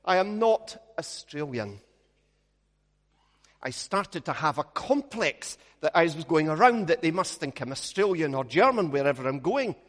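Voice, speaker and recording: A Scottish man preaches in a slow, measured way, with a fairly high voice for a man. He weaves light humor into his stories. His voice rings out with a long reverb, as in a large church. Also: sing-song. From Scotland. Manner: measured, storytelling with gentle humor. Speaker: minister. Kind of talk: sermon with opening prayer.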